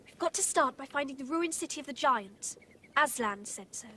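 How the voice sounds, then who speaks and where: High-voiced, practical schoolgirl. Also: animated, moderate pace, sharp tone, slight background noise. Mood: earnest. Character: plain-spoken, practical schoolgirl adventurer. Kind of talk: audio drama acting.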